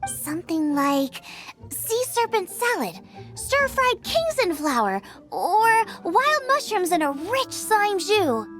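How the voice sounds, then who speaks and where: Game role: adventurous young girl cook, extremely high, animated, bubbly and enthusiastic, music behind. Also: takes it slowly, heavily acted. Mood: pondering, confident. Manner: enthusiastic, exclaiming. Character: eager, bubbly teenage girl chef, adventurous and food-obsessed. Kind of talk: video game character acting.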